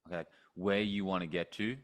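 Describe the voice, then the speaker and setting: A man with a deep, very low voice talks as a coach in a casual sales conversation. His phrasing is informal, with short words of agreement. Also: fairly even intonation, brisk. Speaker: coach taking sales calls.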